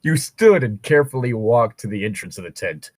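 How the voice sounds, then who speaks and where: A man's voice: on the low side, animated, a little fast, no echo. A man reading a story aloud as a co-host, slipping into casual, sarcastic, mock-exasperated asides. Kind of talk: read-aloud narration with casual joking commentary.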